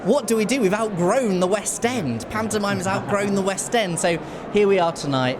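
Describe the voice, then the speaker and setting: A man's voice: sits high, normal speed. A man answering informally and emphatically as chair of an association being interviewed. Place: busy venue before an awards ceremony. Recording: clear background noise of busy venue. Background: venue noise.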